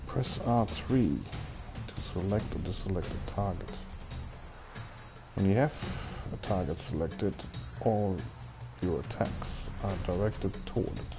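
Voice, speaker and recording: A man's voice: low voice, unhurried. A man doing gameplay commentary, reading on-screen tutorial instructions aloud as he plays. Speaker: gaming video host. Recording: game music behind voice. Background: video game music.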